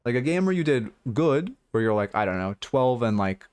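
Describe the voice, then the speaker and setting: A hoarse man giving blunt, rambling game coaching notes on a livestream, full of fillers and restarts. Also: mid-range voice, sing-song, normal speed, a little mellow, no echo. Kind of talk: casual livestream coaching talk.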